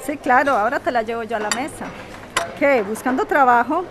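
A woman's voice: fairly high pitch, fairly quick, lightly acted. A woman acting a blunt, down-to-earth bar waitress in an audio drama. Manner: frank, plain-spoken. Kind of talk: audio fiction podcast acting. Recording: bar crowd noise behind.

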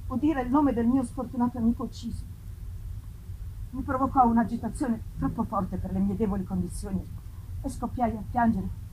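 A woman giving a theatrical literary reading, emphatic and full of pauses. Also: fairly high pitch, steady tone, warm tone, a little hiss or hum, poor audio. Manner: theatrical, emphatic, pausing, repeating phrases. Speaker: actress reading a gothic novel. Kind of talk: dramatic literary reading with live music. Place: live festival performance. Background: live music.

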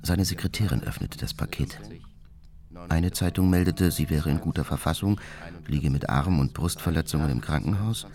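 A man's voice: lively intonation, normal speed, exaggerated voice. An actor playing a cold, methodical serial bomber who recounts his attacks in a detached, matter-of-fact monologue for a radio drama. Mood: detached, frustrated. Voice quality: very deep, creaky. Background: music.

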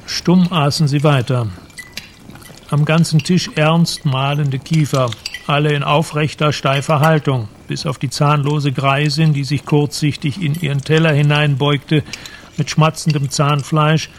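A man giving measured, precise literary narration for a radio drama, with a creaky voice. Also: on the high side, little pitch movement, slight background noise.

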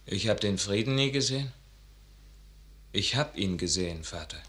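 An actor playing a passionate, idealistic young soldier son in a classical German radio drama, declaiming verse in an impassioned, swelling manner. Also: male voice, lowish voice, expressive, moderate pace, a bit bright. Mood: wistful. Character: ardent idealistic young soldier, son at odds with his father.

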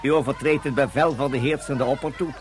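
A man's voice: mid-range voice, fairly even intonation, fairly quick, close to an everyday voice. A man playing a formal, apologetic and officious court official in a science fiction radio play. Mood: stern, reluctant. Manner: stiff, ceremonious, officious. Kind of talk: Dutch radio drama acting.

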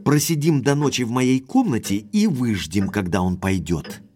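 Headstrong aristocratic baronet, mid-pitched, swooping intonation, decisive, emphatic, detective radio play. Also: male voice, very animated, moderate pace, a little mellow. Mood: decisive, eager. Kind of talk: audio drama acting.

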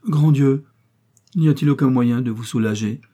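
A man's voice: medium pitch, normal speed, mellow, voice pushed up, natural voice. A man voicing a fawning young male suitor who makes gushing, theatrical declarations of love in an audiobook reading. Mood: gushing, pleading.

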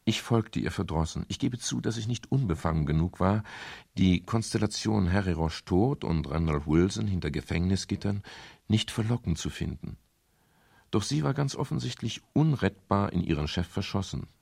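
A world-weary private eye with a very low, gravelly voice. He is laconic and sardonic, in the manner of a radio crime drama. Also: expressive, normal speed, darkish tone, full character voice. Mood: wry, resigned. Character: dry, weary male private detective narrating his case. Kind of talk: 1970s German radio crime drama, acting and first-person narration.

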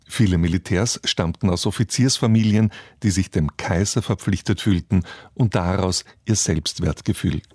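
Deep-voiced radio presenter, a man. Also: darkish tone, slightly rough, dry recording, studio quality. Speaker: radio presenter introducing a radio play. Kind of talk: scripted narration, literary introduction.

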